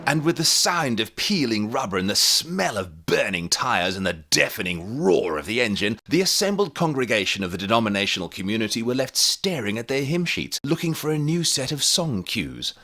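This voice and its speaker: A man's voice: medium pitch, sing-song, moderate pace, hardly any pauses, sharp tone, exaggerated voice. A man playing a wry radio serial narrator, telling a comedy story in a mock-dramatic style.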